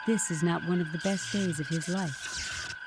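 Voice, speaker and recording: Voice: mid-range voice, fairly even intonation, unhurried, lightly acted. A narrator in a video game giving solemn, scripted character descriptions. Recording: old game audio, loud noise behind.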